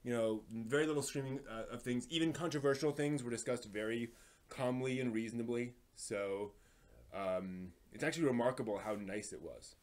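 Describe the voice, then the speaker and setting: A man's voice: medium pitch, moderate pace, darkish tone. A man hosting a livestream, talking casually with a hesitant, rambling way of explaining things. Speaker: livestream host.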